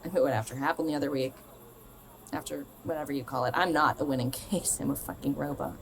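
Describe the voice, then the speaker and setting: Blunt, low-pitched young woman, acted, noisy recording. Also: quick pace, vocal fry. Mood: dry, dismissive. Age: young adult. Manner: blunt, profane, probing. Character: blunt, restless, sardonic famous woman of 27. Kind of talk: naturalistic radio drama acting.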